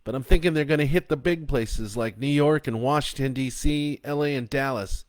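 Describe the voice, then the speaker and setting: A man reading a story aloud and voicing a steady middle-aged father talking firmly under pressure. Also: medium pitch, moderate pace, no echo, pitched above the performer's own voice, lightly acted. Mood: tense.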